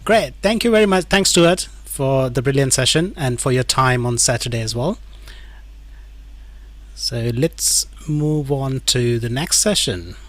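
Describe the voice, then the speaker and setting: A man's voice: mid-range voice, measured pace. Man, online event host, casual, fillers, video call audio. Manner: casual, frequent "like, you know" fillers. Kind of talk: online conference moderation.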